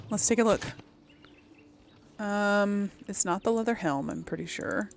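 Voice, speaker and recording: A woman's voice: medium pitch, expressive, measured pace, a little hiss or hum. Chatty woman doing let's play commentary. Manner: informal, chatty, thinking aloud. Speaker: gaming channel host.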